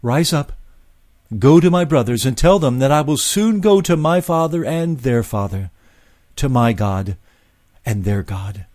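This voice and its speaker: A man doing a gentle, tender master's voice. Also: lively intonation, measured pace, dry recording, clean studio sound, natural voice. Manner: soft, gentle, low. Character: gentle, tender male teacher, calm and warm. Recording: faint music behind.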